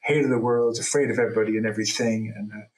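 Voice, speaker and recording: A man's voice: lowish voice, fairly even intonation, fast, darkish tone, echoey room, low-quality recording. A middle-aged man from Ireland, telling his own story as a guest on a video call, rambling and correcting himself earnestly. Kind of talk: personal testimony in an interview.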